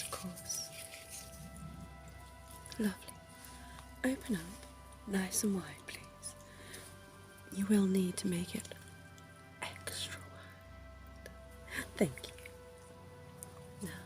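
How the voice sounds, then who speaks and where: A woman whispering as a posh vampire dentist. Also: lowish voice. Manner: soft whispering, posh and coaxing. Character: centuries-old genteel female vampire dentist, sinister. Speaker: ASMR roleplay performer. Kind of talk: ASMR roleplay.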